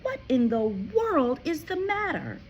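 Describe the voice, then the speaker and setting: A woman voicing a gentle, kindly schoolteacher who speaks quietly and calmly. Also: high voice, a little hiss or hum, pitched above the performer's own voice, full character voice. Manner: quiet, calm and measured.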